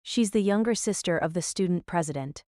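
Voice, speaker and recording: A woman playing a confident teenage girl who aspires to police work, brisk and deadpan, in an audio drama. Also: on the low side, no echo, studio quality, natural voice. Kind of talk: anime-style audio drama acting.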